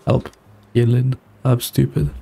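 A man's voice: mid-range voice, level tone, unhurried, gravelly, mellow, soft background music. A young man streaming a game from a small room, reacting casually in a rambling, muttering, playfully exasperated way. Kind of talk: casual live gameplay reaction.

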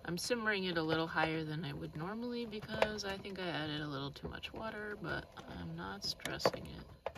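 A woman in her thirties talks her way through cooking in a parked car, relaxed and rambling as she thinks aloud. Her voice sits a little low. The phone at arm's length picks up a steady, clearly audible car-interior noise behind her. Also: moderate pace. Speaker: vlogger. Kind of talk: casual cooking vlog. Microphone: phone camera at arm's length.

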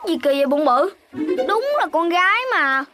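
A woman's voice: high-pitched, animated, moderate pace, pushed and bright, soft background music. A woman with a Southern Vietnamese accent voicing a spirited, boastful, eager little boy animal in a children's cartoon. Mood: puzzled, determined.

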